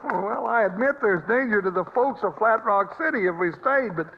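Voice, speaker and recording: Comic cowboy sidekick, very high pitch, Western drawl, grumbling loyalty, dull old radio sound. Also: male voice, lively intonation, moderate pace, creaky, a little hiss or hum. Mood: doubtful, grumbling. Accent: American Western drawl. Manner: folksy, exasperated. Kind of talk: 1950s Western radio acting.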